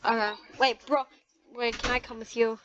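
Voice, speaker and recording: A woman's voice: on the high side, little pitch movement, moderate pace, dull audio, background noise. A woman improvising game roleplay chat, hesitant, often trailing off and repeating phrases. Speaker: player in a Roblox roleplay video.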